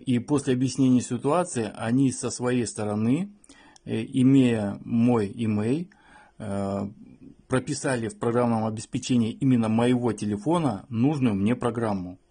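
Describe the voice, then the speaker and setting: A man's voice: medium pitch, warm tone, breathy edge. A man giving an informal product review and tutorial, explaining things in a matter-of-fact way. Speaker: phone reviewer.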